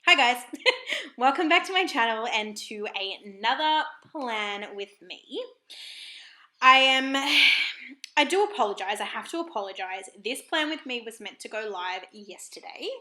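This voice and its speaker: An Australian woman with a croaky, husky throat, chatting casually and apologetically in a voiceover for her planner vlog at home. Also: a little high, expressive, unhurried, sharp tone, reverberant. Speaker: planner vlogger.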